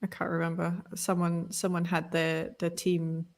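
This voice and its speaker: A woman's voice: on the low side, completely flat delivery, moderate pace, gravelly. A woman who speaks Malay as a first language, co-hosting a podcast in a casual, opinionated chat full of restarts. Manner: talkative, opinionated, many restarts. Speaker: podcast co-host.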